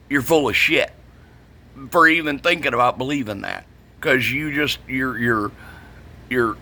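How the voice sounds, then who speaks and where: Brash middle-aged man, forceful voice. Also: mid-range voice. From northern United States. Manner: blunt, profane, emphatic, repeats himself. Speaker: CB radio shop vlogger. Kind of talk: casual opinionated rant.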